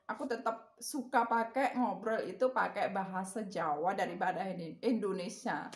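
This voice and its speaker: A woman's voice: mid-range voice, moderate pace, echoey room. A woman with a Javanese accent, chatting casually to her viewers in a rambling way and laughing often. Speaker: vlogger.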